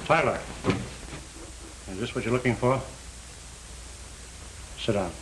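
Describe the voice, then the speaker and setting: Man playing stern American lawman, mid-pitched voice, curt, authoritative, matter-of-fact, faintly hissy old film sound. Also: slow pace, lightly acted. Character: stern, matter-of-fact male parole officer. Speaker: film actor. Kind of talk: 1930s crime film acting.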